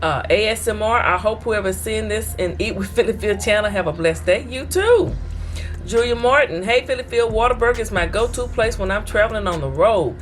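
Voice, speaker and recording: A woman's voice: medium pitch, expressive, brisk, faint music behind. A woman from Texas, hosting an eating show and reading viewer comments aloud in a chatty, folksy way. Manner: chatty, folksy, talks straight to her viewers.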